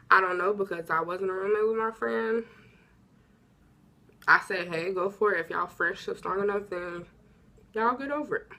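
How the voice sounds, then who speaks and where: A woman's voice: mid-range voice, a bit bright. A young woman from Illinois vlogging casual advice, talking informally and drifting off topic, her voice a little stuffy from congestion. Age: young adult. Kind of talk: casual advice talk to camera.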